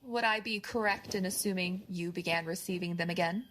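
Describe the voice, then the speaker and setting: A woman's voice: lowish voice, fairly quick, fairly bright tone, faint music behind, natural voice, poor audio. A woman playing a shrewd, menacing crime boss in an audio drama, articulate, mocking and commanding. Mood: cool, commanding.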